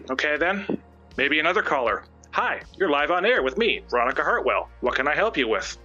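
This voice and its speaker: A man's voice: on the high side, sing-song, normal speed, bright, forceful tone, faint music behind. A man guesting on a stream, doing dramatic script reading and casual chat. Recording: dull lo-fi stream audio.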